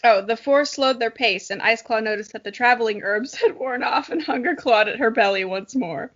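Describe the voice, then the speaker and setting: A young woman with a high voice reads aloud and drops in casual, jokey asides. She co-hosts in a self-mocking, playful manner. The recording is dull and lo-fi. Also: normal speed, fairly bright tone. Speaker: podcast co-host. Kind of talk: reading aloud with casual commentary. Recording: dull, lo-fi audio.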